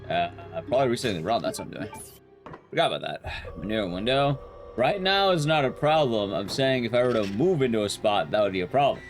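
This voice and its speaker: A young man with a loud, carrying voice chatting casually with his viewers while streaming a game, rambling and restarting sentences as he goes. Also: a little fast, soft background music. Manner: rambling, restarts sentences, lively rises and drops in pitch. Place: his room at home, soundproofed.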